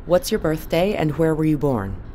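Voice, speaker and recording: A woman playing a composed, authoritative spaceship captain in an audio drama, measured and businesslike. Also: deep voice, big pitch swings, dark tone, slight background noise. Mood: calm, probing. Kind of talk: naturalistic audio drama acting.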